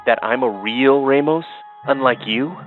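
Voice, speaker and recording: An actor playing a brash, defiant younger brother in an audio soap opera, cocky and needling. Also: male voice, mid-range voice, unhurried, soft background music, lightly acted. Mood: defiant, taunting. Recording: dull, phone-like narrow sound.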